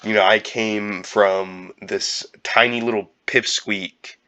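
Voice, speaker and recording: A young man with a cold, talking in a halting, casual way with many fillers and restarts. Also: on the low side, steady tone, bright, forceful tone. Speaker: YouTuber. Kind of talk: casual year-end vlog.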